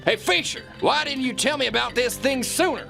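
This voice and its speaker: A man's voice: extremely high pitch, brisk, vocal fry. A man playing a blustering, folksy boss in a satirical radio drama, talking emphatically. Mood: excited, decisive. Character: blustering, folksy male boss ruling a foundation. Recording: music playing under the voice.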